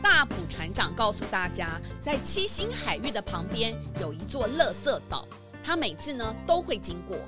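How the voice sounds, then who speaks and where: A woman's voice: on the high side, sing-song, moderate pace, over music. A woman narrating a scripted detective story for children.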